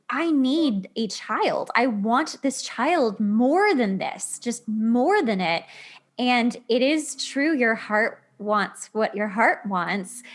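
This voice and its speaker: A woman in her thirties hosting a casual interview, effusive and confiding, with a very informal way of talking. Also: fairly high pitch. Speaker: podcast host.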